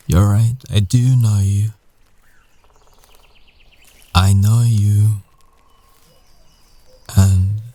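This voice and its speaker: A man playing a guarded, earnest werewolf ex-boyfriend in an intimate ASMR roleplay, speaking haltingly with long pauses. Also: lowish voice, dark tone. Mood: defensive, earnest.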